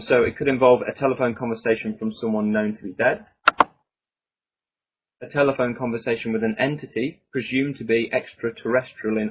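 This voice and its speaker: A man's voice: mid-range voice, slightly rough, rough recording. A man presenting his own book as an author and researcher, talking through slides in a conversational, enthusiastic way with rambling asides.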